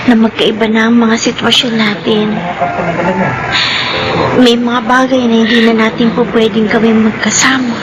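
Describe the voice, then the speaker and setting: An actress playing a gentle, devoted young farm-raised daughter in a Filipino radio drama, speaking earnestly, tenderly and respectfully. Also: female voice, on the high side, level tone, moderate pace, pauses often, heavy background noise, lightly acted. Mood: tender, sad. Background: music.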